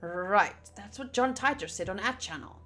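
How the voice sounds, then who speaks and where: Bright-voiced woman streaming a visual novel. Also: medium pitch, lively intonation, moderate pace. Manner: chatty, addresses viewers, comments between readings. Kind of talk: live playthrough commentary, reading game text aloud. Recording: game music behind the voice.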